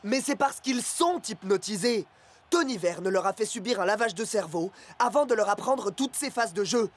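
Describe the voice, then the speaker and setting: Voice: mid-range voice, expressive, moderate pace, lightly acted. An actor voicing an eager, earnest young male football player in a French-dubbed cartoon, with urgent delivery.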